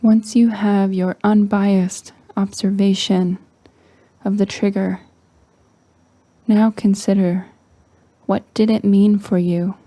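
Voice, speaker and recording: A woman leading a guided meditation in a soft, breathy, near-whispering voice, calm and gentle with long pauses. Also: mid-range voice, dry recording. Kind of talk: slow guided meditation narration.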